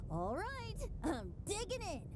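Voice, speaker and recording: High, lilting boyish bard. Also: female voice, noisy background, exaggerated voice. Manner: theatrical, flowery, airy. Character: whimsical, cheerful young male wandering bard. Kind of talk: video game voice acting. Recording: loud music behind the voice.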